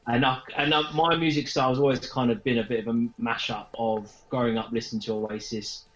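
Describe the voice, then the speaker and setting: A man's voice: mid-range voice, steady tone, a little fast, a bit bright. A man, a musician in a casual interview, rambling loosely through his answers. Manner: rambling, heavy hedging with kind of. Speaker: band member being interviewed. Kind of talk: casual chat. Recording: dull lo-fi remote sound.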